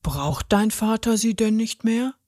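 A woman voicing a big, clumsy but sensitive male troll, slow and bewildered. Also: a little high, animated, darkish tone, no room sound, clean studio sound.

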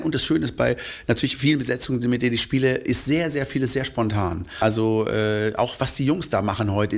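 A male singer answering interview questions in a relaxed, rambling way, often correcting himself mid-sentence. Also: medium pitch, gravelly. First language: German. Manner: rambling, self-correcting, chatty.

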